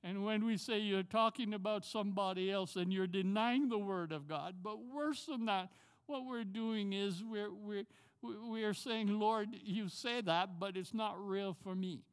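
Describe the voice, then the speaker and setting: Older Jamaican man preaching slowly. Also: high-pitched, smooth. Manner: emphatic, repeats key words. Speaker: pastor. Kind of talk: sermon. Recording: long hall reverb.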